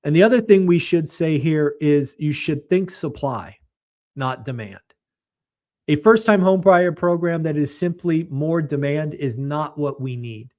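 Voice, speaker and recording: Slightly hoarse, emphatic man, pitched a bit high. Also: a little slow, darkish tone. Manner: emphatic, blunt and colorful, mocking. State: slightly hoarse, losing his voice. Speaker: real estate show host. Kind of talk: market commentary monologue.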